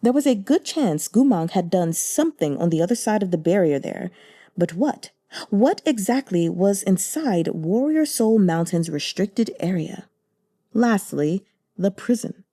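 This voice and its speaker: A woman narrating a translated novel in a measured, deliberate storytelling manner. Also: medium pitch, sing-song, warm tone. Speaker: audiobook narrator.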